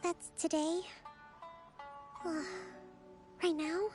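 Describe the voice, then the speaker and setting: High-voiced, gentle young shrine leader. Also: female voice, flat delivery, music in the background, exaggerated voice. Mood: reluctant. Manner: polite, measured, softly formal. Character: gentle, composed young female shrine leader, quietly weary. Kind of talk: English game voice acting.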